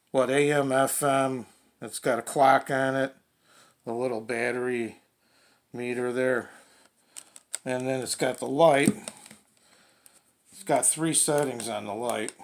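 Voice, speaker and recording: A man gives a casual product demonstration. His voice has a creaky, gravelly edge and sits at an average pitch. He talks slowly and haltingly, in plain folksy words, like a hobbyist working without a script. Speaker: radio hobbyist presenting product reviews.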